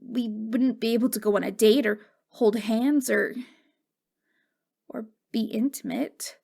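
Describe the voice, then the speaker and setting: A woman playing a wistful, wounded ghost girl in an intimate ASMR roleplay, speaking in a halting, fragile, stammering way. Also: a little high, steady tone, gravelly, warm tone. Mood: jealous, defensive. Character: wistful, wounded ghost girl, long dead since wartime.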